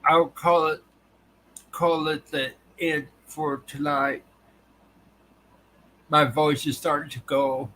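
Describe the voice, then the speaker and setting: Guy on a livestream, slow and halting, voice going dry, a bit high, faint hum behind. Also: little pitch movement, pushed and bright, rough recording. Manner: halting, restarts often. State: voice tiring and dry. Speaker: livestream host. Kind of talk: casual live chat with viewers.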